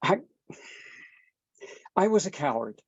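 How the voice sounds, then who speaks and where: A man's voice: fairly high pitch, very animated, vocal fry, slightly rough, lo-fi sound, full character voice. An actor at a table read playing a guilt-ridden estranged father who stammers. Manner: halting, stammering.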